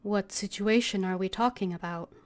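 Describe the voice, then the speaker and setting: A woman game streamer casually reading game text aloud and muttering low-key asides. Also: moderate pace. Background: game music, keyboard typing.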